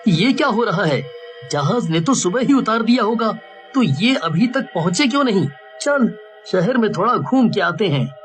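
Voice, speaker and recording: A man voicing a worried, earnest young village man in an animated cartoon story. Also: sits high, normal speed, faint music behind. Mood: suspicious, worried.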